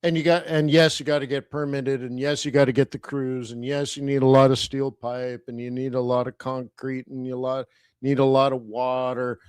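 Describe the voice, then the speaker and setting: A man's voice: medium pitch, little pitch movement, normal speed, clean tone, no echo, studio quality. A middle-aged Canadian man hosting a live stream, chatting casually and throwing rhetorical questions at his viewers. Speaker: host of a live trading stream.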